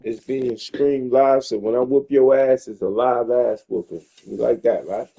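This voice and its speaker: A man's voice: medium pitch, steady tone, measured pace, vocal fry, dark tone, low-quality recording. Profane trash-talking man in his fifties. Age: 50s. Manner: profane, boastful, taunting; repeats phrases. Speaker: gamer streaming online match. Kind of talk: trash-talking live gameplay chat.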